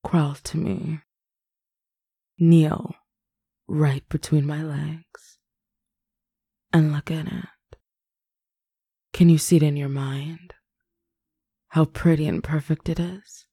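A woman speaking an intimate roleplay in a teasing, commanding, sultry manner, close to the mic and often dropping into breathy whispers. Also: deep voice, little pitch movement, slow, pauses often, warm tone, no echo. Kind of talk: intimate adult roleplay audio.

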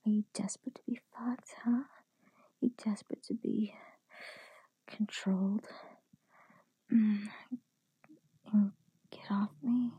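Adult woman for intimate audio roleplay: very husky, breathy whisper with fry, commanding and teasing delivery. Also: medium pitch, dark tone, no echo, rough recording. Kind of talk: whispered erotic audio roleplay, addressing the listener.

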